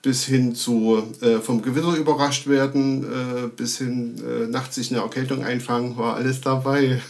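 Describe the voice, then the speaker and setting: Halting man telling casual stories. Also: mid-range voice, steady tone, darkish tone. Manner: halting, thinking aloud. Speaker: sound recordist and film editor. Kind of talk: casual anecdote in an interview. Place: indoor room, video call. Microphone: video call.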